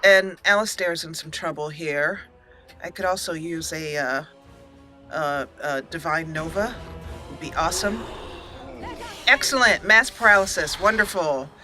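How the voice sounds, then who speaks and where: A woman's voice: sits low, unhurried, sharp tone, faint music behind. A woman hosting a let's play with casual gameplay commentary, chatty and thinking aloud.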